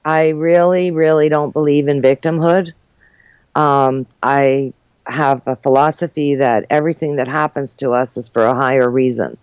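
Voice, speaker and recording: An older woman talks through her life story in a loose, chatty way, full of little fillers. Her voice is smooth and clear, but it comes through a dry, dull, muffled line. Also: very deep voice, steady tone, moderate pace, dry recording. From Uruguay, raised in New Jersey and New York. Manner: chatty, warm storytelling with frequent fillers and laughs. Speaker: guest. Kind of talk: casual interview chat.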